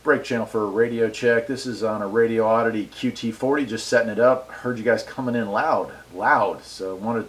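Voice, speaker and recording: A man's voice: lowish voice, steady tone, fairly quick, few pauses. Enthusiastic, folksy American man. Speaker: radio hobbyist and video reviewer. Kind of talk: casual product review and radio chat. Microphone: wireless mic set between him and the radio.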